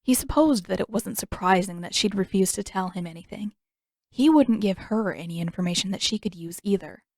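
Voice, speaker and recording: A woman's voice: mid-range voice, fairly quick, gravelly, a little mellow, no echo. A woman reading scripted fiction expressively as a storyteller, close to the microphone. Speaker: podfic narrator and author. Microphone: close microphone, dry room.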